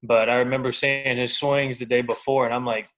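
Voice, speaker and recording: A man in his twenties talks as a guest athlete in a casual interview. His voice is mid-pitched for a man, with a flat, even intonation and relaxed, informal wording. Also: brisk, rough recording. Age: 20s. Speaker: baseball pitcher, podcast guest.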